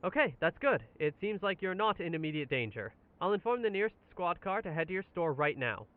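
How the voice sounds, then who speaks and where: A man's voice: high-pitched, big pitch swings, a little fast, narrow, tinny audio. A man playing a helpful police dispatcher in an audio drama, reassuring and procedural. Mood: calm, reassuring. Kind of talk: naturalistic audio drama acting.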